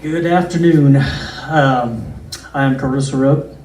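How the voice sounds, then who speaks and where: A man in his fifties from Kansas giving a plain-spoken campaign speech, halting with many fillers. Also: mid-range voice, steady tone, a little mellow, slight background noise. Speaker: local candidate. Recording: roomy, distant recording.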